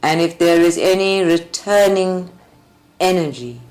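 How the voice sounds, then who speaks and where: A woman leads a guided group meditation with deliberate, measured phrasing and long pauses. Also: lowish voice, darkish tone, low-quality recording. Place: group meditation at a creativity centre. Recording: live recording with music behind. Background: faint music.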